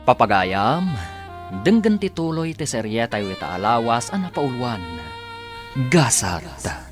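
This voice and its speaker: A male Ilocano radio drama announcer reads scripted narration with a lively, swinging delivery. Music plays beneath his voice. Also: medium pitch, slow. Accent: Filipino (Ilocano). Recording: music bed under the voice.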